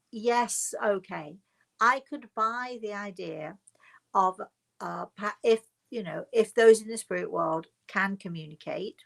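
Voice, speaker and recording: Creaky-voiced woman telling a personal story. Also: medium pitch, fairly bright tone. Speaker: spiritual medium telling her story. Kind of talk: informal personal storytelling to an online audience.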